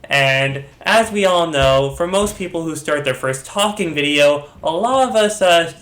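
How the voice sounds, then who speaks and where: A man with a slightly high voice narrates a casual commentary video while under the weather. He rambles and corrects himself mid-sentence. The recording carries a noticeable room echo. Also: animated, measured pace, fairly bright tone. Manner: rambling, with frequent restarts and self-corrections. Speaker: YouTube commentator. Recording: echoey room.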